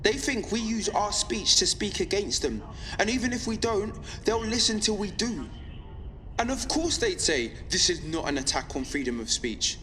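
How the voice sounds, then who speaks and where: A woman's voice: mid-range voice, expressive, a bit bright, close to an everyday voice. Young female underground broadcaster played by an actress for audio drama: earnest, defiant, rhetorical delivery, echoing sound with faint noise. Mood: urgent, indignant. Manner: deliberate, emphatic, rhetorical repetition. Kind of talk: scripted audio drama monologue. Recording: long reverb, faint background noise.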